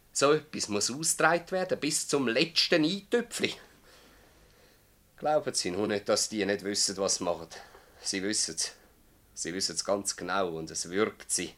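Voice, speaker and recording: A man's voice: mid-range voice, close to an everyday voice. An actor playing an anguished, accusing village man in a radio drama, intense and brooding, with a Swiss German accent. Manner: intense, brooding, swinging between hushed and loud.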